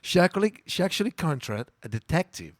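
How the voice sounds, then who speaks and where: A man with an Italian accent, a native Italian speaker, talking in a casual interview. He tells lively stories, repeats words and stops to search for English words. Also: a little high, fairly quick, dry recording, clean studio sound. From Italy. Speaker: guest.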